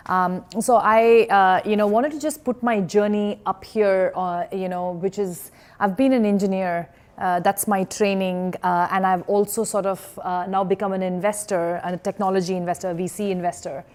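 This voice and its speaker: A woman's voice: medium pitch, fairly even intonation, moderate pace, darkish tone. A woman in her forties, born in India and raised in Singapore, giving a conference talk as an engineer turned investor, speaking hesitantly with many fillers and restarts.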